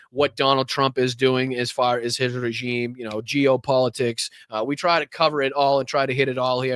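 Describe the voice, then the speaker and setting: A man's voice: medium pitch, fairly bright tone, no echo. Rambling, profane male podcast host. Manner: rambling, profane, many fillers. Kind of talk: casual livestream political commentary.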